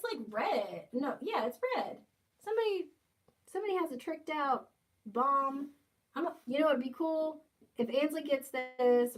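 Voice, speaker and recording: A woman in her twenties hosting a casual livestream, reading chat comments and joking playfully with viewers. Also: sits high. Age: 20s. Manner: playful, teasing, jokey asides. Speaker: livestream host.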